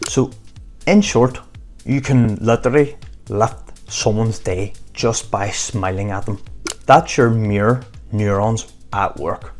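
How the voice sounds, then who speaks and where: A man's voice: lowish voice, takes it slowly, a little mellow, soft background music. A man giving an earnest motivational talk to camera in short, emphatic phrases. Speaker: motivational vlogger.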